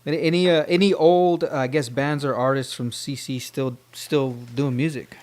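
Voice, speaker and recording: A man's voice: a little high, fairly even intonation, moderate pace, darkish tone. A man hosting a casual podcast, putting questions to the group. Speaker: podcast host asking questions. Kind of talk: casual interview chat.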